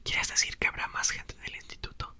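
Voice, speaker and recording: A man whispering the lines of a curious, proud teenage monster girl in an ASMR book reading. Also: fairly quick. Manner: soft, whispered.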